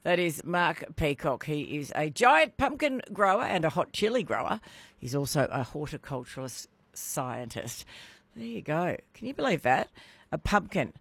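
A woman's voice: deep voice, big pitch swings, moderate pace, husky, no echo. A woman hosting a talkback radio show, bubbly and playful, laughing often. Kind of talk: casual radio chat.

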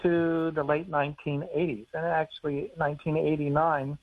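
American man, phone guest on radio, easygoing explainer, slightly high voice, thin phone-line sound. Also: little pitch movement, normal speed. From United States. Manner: easygoing, explanatory, chuckles now and then. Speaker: author and conservation biologist on the phone.

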